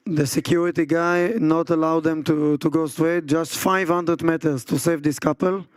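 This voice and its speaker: Guy telling his story in basic english, smooth voice, a bit high. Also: little pitch movement, moderate pace. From Israel. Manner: simple, broken English. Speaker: speaker at a public event.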